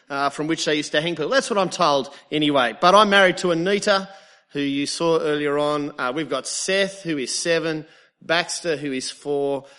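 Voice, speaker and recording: An Australian man in his thirties delivers a sermon in a light, high-pitched voice. He opens with dry, self-deprecating jokes, then settles into earnest teaching and prayer. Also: sharp tone. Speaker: preacher.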